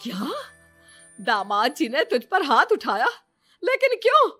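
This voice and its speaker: A woman's voice: high-pitched, huge pitch swings, a little slow, sharp tone, pitched above the performer's own voice, heavily acted. A fretful, loving middle-aged mother in a moral tale, voiced by a woman. She speaks with open emotion and worry, her voice often lifting, over faint background music. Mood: shocked, worried.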